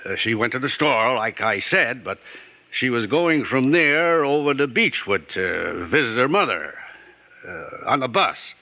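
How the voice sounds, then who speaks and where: A man's voice: medium pitch, very animated, unhurried, sharp tone, heavily acted. An actor playing a nervous, guilty neighbor in old-time radio suspense, stammering and evasive as he talks. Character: shifty, nervous adult neighbor man hiding a guilty secret. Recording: vintage radio recording, light noise and clicks.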